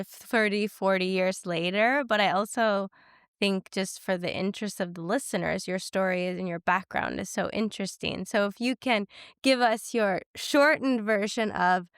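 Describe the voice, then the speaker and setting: A woman from Canada hosting a podcast interview, enthusiastic and a little hoarse from a cold. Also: mid-range voice, a bit bright, dry recording, clean studio sound. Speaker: podcast host. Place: home in Sweden.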